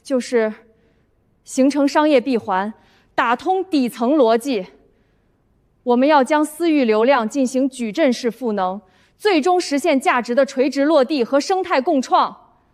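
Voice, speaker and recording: A young woman playing a feisty, easily flustered office worker in an animated comedy, talking in a glib, excitable, indignant way. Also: sits high, measured pace. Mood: nervous, bluffing. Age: young adult. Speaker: office planner. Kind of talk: animated comedy drama acting.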